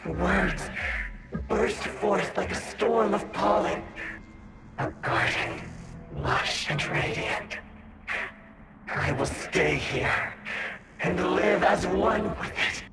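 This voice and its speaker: Brooding possessed youth, poetic, halting, gravelly, loud game music. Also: male voice, sits high, heavily acted. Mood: dreamy. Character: young man fused with a plant garden, dreamy and defiant.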